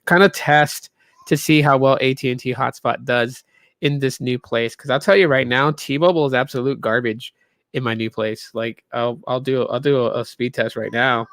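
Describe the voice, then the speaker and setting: Tired man rambling on a live stream, gravelly. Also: medium pitch, fairly even intonation, moderate pace, a bit bright, no echo. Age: 30s. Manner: rambling, loose, lots of fillers. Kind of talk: casual live stream chat. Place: small room in a new home.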